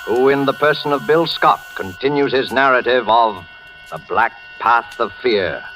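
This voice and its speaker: A man's voice: medium pitch, normal speed, poor audio. A man announcing a suspense programme in a dramatic 1940s radio style. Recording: old radio broadcast with music behind.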